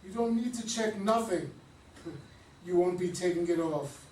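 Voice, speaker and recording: A menacing young militant commander barks harsh orders, read by a man at a play reading. His voice sits a little high. It comes from a distance in an echoey room with faint noise underneath. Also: unhurried, darkish tone. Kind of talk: readers theatre reading.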